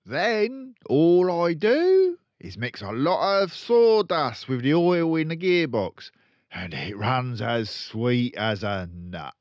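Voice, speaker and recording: An older storyteller acts out a crooked car-dealer dad from a children's storybook, a man who brags loudly and sneers. His pitch is pushed up and swings wildly, in a roomy, echoing recording. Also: big pitch swings, takes it slowly, muffled recording. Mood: gleeful bragging. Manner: loud bragging, sneering, colloquial. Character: boastful, crooked, rude car-dealer father, middle-aged.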